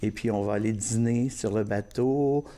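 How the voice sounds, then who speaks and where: A man from Northern Ontario, a guest on a TV talk show describing group trips in a halting way with many fillers. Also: fairly low pitch, mellow. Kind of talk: casual TV talk.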